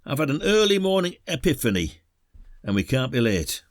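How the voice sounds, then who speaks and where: A man's voice: mid-range voice, very animated, normal speed, dry recording, studio quality, lightly acted. A man with a British accent playing an ageing, friendly, stubborn retired detective in an audio drama, chatting in a chummy, mildly grumbling way. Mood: brisk, matter-of-fact.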